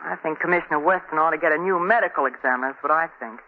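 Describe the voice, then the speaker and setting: A woman's voice: sits low, racing, phone-quality sound. An actress playing an inquisitive, outspoken lady companion to a sleuth in 1940s radio drama, earnest and questioning. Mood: puzzled, concerned. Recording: thin, noisy 1940s radio recording.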